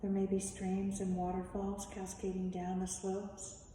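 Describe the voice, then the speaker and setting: A woman leading a guided meditation as a teacher, speaking in measured, instructive short phrases with long pauses. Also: mid-range voice, monotone, warm tone. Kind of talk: slow guided meditation narration. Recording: echoey reverberant room, distant mic, faint noise.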